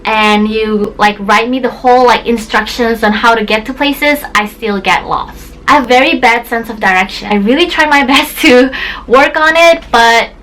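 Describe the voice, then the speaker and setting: A woman's voice: fairly high pitch, moderate pace, a bit bright, poor audio. A young woman in her twenties, raised in Indonesia and a native Indonesian speaker, chatting casually to her camera about herself with lots of "like" fillers. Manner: chatty, bubbly, many "like" fillers. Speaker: beauty vlogger.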